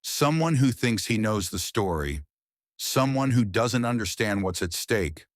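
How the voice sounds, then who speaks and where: A male narrator voicing a tired, haunted man in an audiobook thriller, speaking in a hushed, grave way. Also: fairly low pitch, animated, unhurried, no echo, studio quality, pitched above the performer's own voice. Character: weary, haunted man with a secret.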